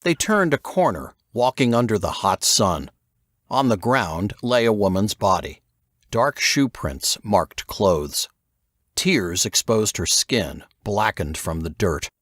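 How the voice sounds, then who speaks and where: A man narrates a novel in a lowish voice with lively, varied intonation. The delivery is scripted storytelling, captured in a close, dry recording. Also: takes it slowly, no room sound. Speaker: audiobook narrator.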